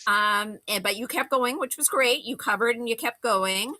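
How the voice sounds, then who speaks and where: A woman's voice: on the high side, moderate pace, bright, forceful tone, dry recording. A woman drama instructor giving casual, effusive, encouraging notes to her cast.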